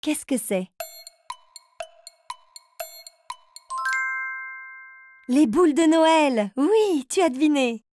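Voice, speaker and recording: A woman's voice: a little high, mellow, slight background noise. A woman voicing a kind, encouraging grown-up who leads a riddle game for little children in a cartoon, speaking in simple phrases. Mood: encouraging, playful.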